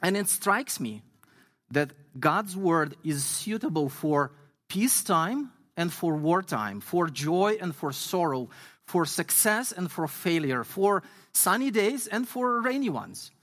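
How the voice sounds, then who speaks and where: A man from Ukraine, an English speaker, preaching a sermon earnestly and reading scripture slowly with emphasis. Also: high voice, very animated, fairly bright tone. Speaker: guest pastor.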